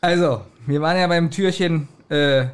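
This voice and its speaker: Higher-voiced man chatting casually. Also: moderate pace, smooth. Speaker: podcast co-host. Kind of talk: casual chat.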